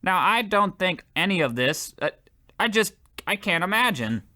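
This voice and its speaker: A man's voice: high voice, big pitch swings, normal speed, pushed and bright, full character voice. A man playing a meek, cheerful, hapless poor man in a radio comedy, stammering earnestly as he talks. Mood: flustered, stubborn. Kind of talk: old-time radio comedy acting.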